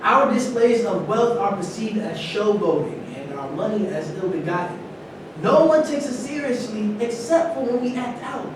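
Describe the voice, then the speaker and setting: A man's voice: animated, normal speed. A man playing an argumentative, embittered former football star in a staged reading of a play. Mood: bitter, insistent. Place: large reverberant room at a staged reading. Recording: very echoey hall, distant mic, rumbling noise.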